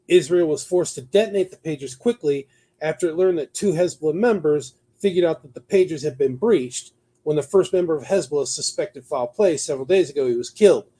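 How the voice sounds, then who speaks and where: A man with a somewhat high-pitched voice co-hosts a news discussion. He shifts between reading from notes and chatting informally. His delivery halts now and then, and he repeats words for emphasis. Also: a little mellow. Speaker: talk show host. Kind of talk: conversational news commentary, partly read from notes.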